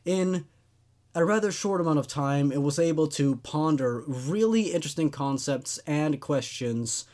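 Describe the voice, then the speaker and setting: A man with a fairly high-pitched voice hosts a horror story show. He gives casual, laid-back commentary. He often repeats his phrases to stress a point.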